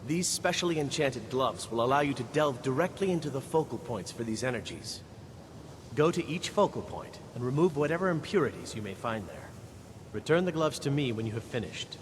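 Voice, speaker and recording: An actor playing a grave, exacting wizard in a fantasy game, with a formal, theatrical delivery. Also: male voice, expressive, moderate pace, lightly acted. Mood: formal, instructive. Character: grave, exacting male wizard mourning lost knowledge. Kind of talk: fantasy video game voice acting. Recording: long hall reverb, rumbling background noise.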